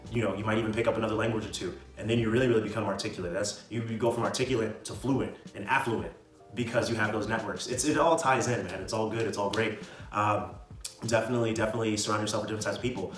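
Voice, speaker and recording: Young dude, kinda low voice, chatty slangy pep talk, roomy echo, bit far from the mic. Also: male voice, steady tone, quick pace. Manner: informal and slangy, often tags lines with "right?". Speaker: video host giving self-improvement advice. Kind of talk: casual motivational talk to camera. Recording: roomy echo, distant mic, music behind.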